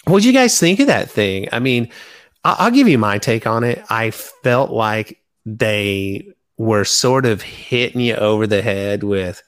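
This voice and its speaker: A man's voice: fairly low pitch, fairly bright tone. A man chatting casually about fan topics as a podcast host.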